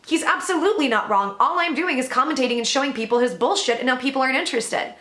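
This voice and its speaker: Loud, bright-voiced woman vlogging in an echoey room. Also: high voice, fast talker. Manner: blunt and profane, emphatic. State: voice worn from overuse. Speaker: commentary channel host. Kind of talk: vlog commentary update.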